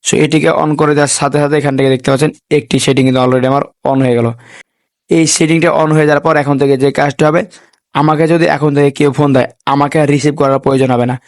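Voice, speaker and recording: A man's voice: on the high side, fairly even intonation, rapid-fire, dry recording, clean studio sound. A man hosting a tech tutorial voice-over, speaking in repetitive set phrases sprinkled with English words. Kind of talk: instructional voice-over addressing viewers.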